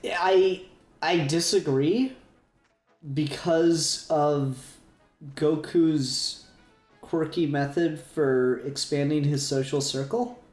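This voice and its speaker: Higher-pitched man rambling enthusiastically in a roomy space. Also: extremely slow, darkish tone. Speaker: podcast co-host. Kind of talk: casual fan discussion. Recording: roomy echo, music behind.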